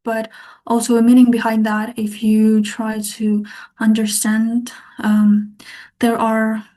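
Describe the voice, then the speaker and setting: A woman's voice: on the high side, monotone, slow pace, dark tone. A young woman artist from Kazakhstan, talking reflectively as a podcast guest. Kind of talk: podcast interview.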